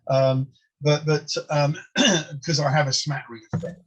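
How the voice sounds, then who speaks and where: A man's voice: a little high, flat delivery, measured pace, a bit bright, husky. A man in his sixties with a London accent, a native Polish speaker, telling rambling, self-deprecating stories as a comedian being interviewed. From England. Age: 60s. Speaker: comedian and guest. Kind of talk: casual interview chat.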